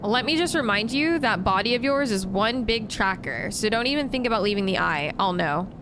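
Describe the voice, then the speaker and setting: A woman reading out the lines of a smug, armed man who holds someone captive, calmly making threats. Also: mid-range voice, a little fast, background noise, lightly acted. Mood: menacing. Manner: calm threats, read aloud from game text. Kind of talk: reading game dialogue aloud.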